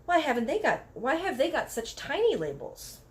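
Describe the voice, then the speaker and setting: Lady doing a curious little girl from a storybook, outspoken, high lively voice. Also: moderate pace. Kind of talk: storybook read-aloud character voice.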